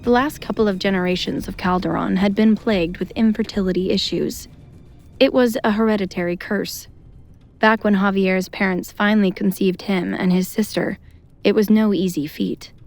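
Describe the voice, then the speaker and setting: A woman's voice: mid-range voice, a little mellow. A woman narrator reading a scripted audio drama in a storytelling style.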